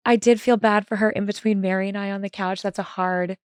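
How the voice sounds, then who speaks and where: A woman's voice: medium pitch, fairly even intonation, clear, resonant tone, no echo. Woman in her thirties, from California, sassy podcast guest, laughs a lot, dry close sound. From California, USA. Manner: sassy, laughing, quick asides. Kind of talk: casual chat.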